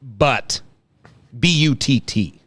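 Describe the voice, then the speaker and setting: A stern high school principal, put on by a man at a table read, scolding in a pompous, deliberate way. He is prim and comic, as if reading from a school play script. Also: expressive, a bit bright, dry recording, heavily acted. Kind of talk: comedy cold table read.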